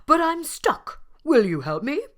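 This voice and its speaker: Woman voicing a gentle lion poet, higher-pitched and earnest. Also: sing-song, unhurried. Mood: friendly.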